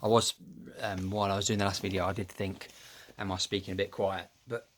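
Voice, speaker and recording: A man with a deep voice chatting casually to his phone camera at home, rambling and losing his thread with plenty of ums. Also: flat delivery, fairly quick, slight background noise. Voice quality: low, deep voice. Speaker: vlogger. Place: at home, upstairs room. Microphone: phone camera held close.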